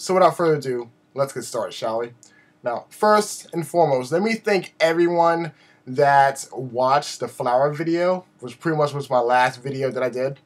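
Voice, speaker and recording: A man giving a casual, rambling vlog update in an animated, self-deprecating way, his voice slightly raspy from a sore throat. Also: mid-range voice. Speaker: video blogger.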